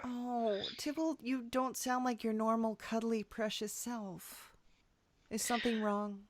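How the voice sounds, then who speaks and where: A woman hosting a casual, playful comedy interview in a halting, sympathetic way. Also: on the high side, steady tone. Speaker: podcast host and interviewer.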